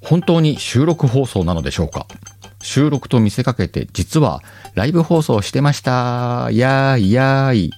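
A man hosting his own show, reading listener letters in a relaxed, chatty way, laughing often and stumbling over words. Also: mid-range voice, measured pace, faint music behind. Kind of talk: casual solo chat.

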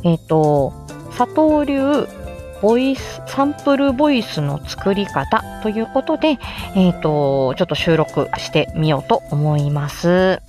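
A woman's voice: fairly low pitch, sing-song, measured pace, a little mellow, faint music behind. A woman presenting an informal instructional livestream in a chatty, explanatory way. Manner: chatty, explanatory, greets listeners by name. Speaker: presenter teaching how to make voice samples; speech therapist and amateur voice actor.

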